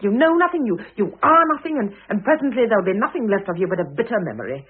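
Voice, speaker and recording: An actress playing a sharp-tongued, dignified older former wife in a classic radio drama, cutting and disdainful. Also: female voice, on the high side, sing-song, very fast, husky. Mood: bitter anger. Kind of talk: classic stage-style radio drama acting. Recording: muffled narrow-band old radio recording.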